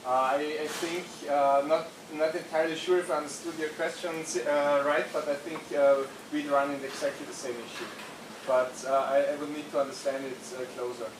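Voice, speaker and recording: A man answering technical audience questions, halting and hedging. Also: on the high side, flat delivery. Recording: echoey room, distant mic, noise.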